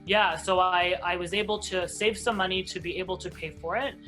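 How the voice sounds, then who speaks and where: A man's voice: high voice, fairly even intonation, a little fast, pushed and bright, soft background music, low-quality recording. A Hebrew-man, born in Israel, telling his story as an airline captain guest in a casual interview, chatty with many restarts.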